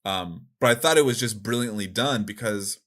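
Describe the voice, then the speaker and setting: A man chatting casually as a podcast co-host, with an animated, informal manner. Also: lowish voice, normal speed. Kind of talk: casual podcast chat about video games.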